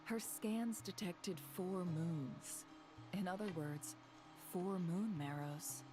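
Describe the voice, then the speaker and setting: An actress playing a calm, wise young woman in a video game, measured and serene. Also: on the low side, music in the background.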